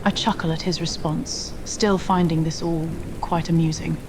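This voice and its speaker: A woman's voice: low-pitched, level tone, moderate pace. A woman narrating a scripted romantic story in the first person. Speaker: audio roleplay narrator. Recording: faint rain noise bed. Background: rain ambience.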